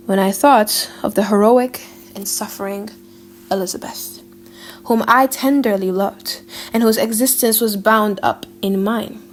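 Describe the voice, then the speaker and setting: Lady doing a dramatic audiobook read, highish voice, very theatrical, bit of noise behind her. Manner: theatrical, emotive, with drawn-out pauses and hushed drops. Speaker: audiobook narrator.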